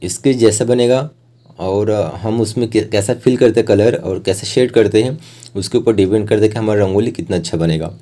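A young man hosts an art tutorial in a lowish, very mellow voice. He talks through each step in a plain, explanatory way. Now and then he pauses mid-sentence to find the next word. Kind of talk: step-by-step instructional voice-over.

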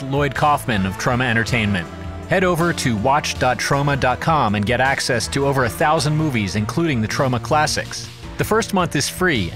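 A man reading scripted credits and announcements as the announcer of an audio drama series. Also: medium pitch. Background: music.

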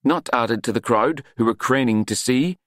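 A man's voice: mid-range voice, normal speed, fairly bright tone, dry recording, clean studio sound. A man reading scripted fiction as an audiobook narrator, in a dramatic storytelling style.

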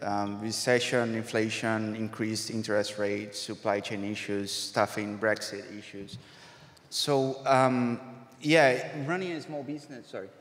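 A man's voice: on the low side, level tone, a little slow, fairly bright tone. Non-native man presenting in an echoing hall. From Brazil or Argentina. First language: Spanish or Portuguese. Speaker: creative director. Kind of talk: conference talk. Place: stage in a large hall. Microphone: stand mic. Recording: reverberant hall.

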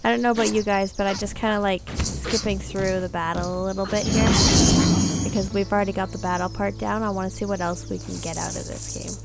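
A woman's voice: mid-range voice, steady tone, fairly quick, creaky, over loud music. A woman giving casual, chatty video game commentary, thinking aloud and exclaiming as she plays.

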